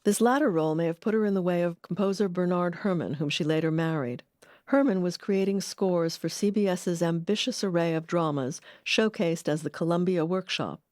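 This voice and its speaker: A woman reading scripted documentary narration in a measured, articulate manner. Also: on the low side, darkish tone, dry recording, studio quality.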